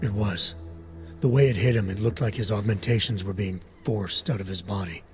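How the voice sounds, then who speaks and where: A low-voiced, gravelly male agent from a video game, played by an actor, guarded and terse. His delivery is clipped and deadpan. Music plays clearly behind him. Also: moderate pace, natural voice. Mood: grim, thoughtful. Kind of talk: video game cutscene acting. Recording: music under the voice.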